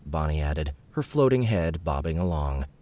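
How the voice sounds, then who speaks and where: Low-voiced male audiobook narrator. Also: expressive, normal speed, mellow. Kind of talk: scripted fiction narration.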